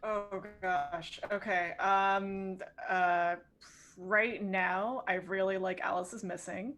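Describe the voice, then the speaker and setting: A woman's voice: mid-range voice, fairly even intonation, slow pace, fairly bright tone. A woman in her thirties, a game designer chatting as an interview guest, hesitant and full of fillers. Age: 30s. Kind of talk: casual interview chat.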